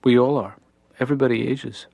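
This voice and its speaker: A man voicing a calm cartoon therapist who answers in dry, understated murmurs. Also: medium pitch, a little fast, creaky, no echo. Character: calm middle-aged male therapist.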